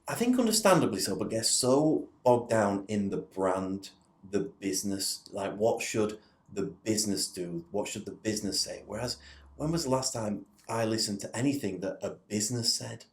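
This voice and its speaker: A man's voice: lowish voice, animated, normal speed. A man speaking as a podcast guest, explaining things conversationally and posing rhetorical questions. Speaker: podcast guest, digital influence facilitator. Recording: roomy, echoing indoor sound.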